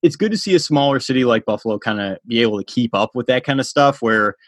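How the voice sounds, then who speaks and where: A man's voice: mid-range voice, expressive, fast talker, no room sound, studio quality. A man hosting a sports podcast interview, chatty and casual with lots of fillers and laughter. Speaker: podcast host.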